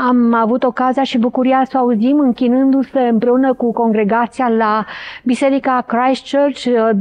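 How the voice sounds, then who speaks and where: A woman presenter introducing a guest, speaking warmly and hesitantly, with many small fillers. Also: fairly high pitch. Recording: muffled lo-fi sound.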